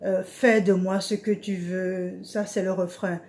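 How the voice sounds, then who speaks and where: A woman's voice: medium pitch, little pitch movement, a little mellow. A woman preacher giving an informal devotional talk on Bible verses, speaking hesitantly with many fillers. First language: an African language she names as her mother tongue. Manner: slow, hesitant speech with frequent "euh" fillers.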